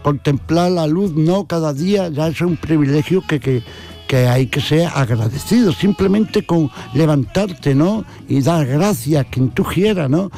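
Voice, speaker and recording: Andalusian guy on radio, mellow highish voice, folksy n affectionate, repeats himself loads. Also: expressive, unhurried, gravelly, faint music behind. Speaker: regular radio guest. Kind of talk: casual philosophical radio chat.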